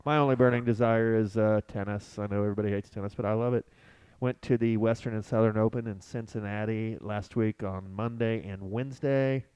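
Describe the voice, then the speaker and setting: A man's voice: lowish voice, steady tone, fairly quick, few pauses, darkish tone, clean tone, no echo, low-quality recording. A man hosting a casual sports podcast, talking in an enthusiastic, chatty way. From United States. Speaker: podcast host.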